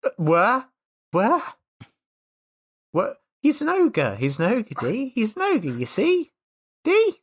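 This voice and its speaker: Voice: medium pitch, huge pitch swings, slow pace, long pauses, breathy edge, pitched above the performer's own voice, heavily acted. A tabletop player voicing an anxious young sidekick, fretful and scolding, repeating words in exasperation. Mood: exasperated.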